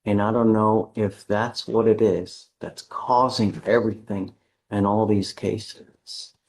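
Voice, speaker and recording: A man speaking as a judge at a courtroom hearing, measured and deliberate, stern but patient. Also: lowish voice, fairly even intonation, gravelly, a little mellow.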